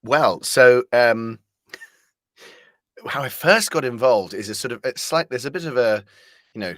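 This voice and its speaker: A man's voice: medium pitch, expressive, measured pace, fairly bright tone, no echo, studio quality. A man chatting casually as an interview guest, jovial and rambling, telling stories with a hesitant delivery.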